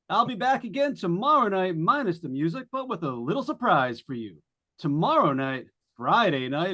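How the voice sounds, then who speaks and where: A man playing an excitable, jovial disc jockey in an old-time radio drama, with chatty, showy, folksy patter. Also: sits high, full character voice. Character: excitable, jovial, wisecracking male late-night jazz disc jockey.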